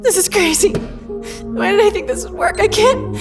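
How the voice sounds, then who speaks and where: A woman playing a frightened but defiant therapist on the run in an audio drama, anxiously repeating herself in breathy fragments. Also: extremely high pitch, animated, normal speed, music in the background. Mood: desperate.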